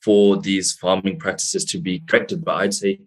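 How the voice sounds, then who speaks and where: A man hosting an interview, talking in a relaxed, conversational way and using Islamic phrases. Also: sits low, normal speed, husky. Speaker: host. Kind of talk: casual interview.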